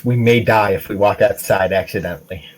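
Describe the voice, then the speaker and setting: Low-voiced man, casual game player. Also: fairly even intonation, brisk. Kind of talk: casual banter during roleplay game.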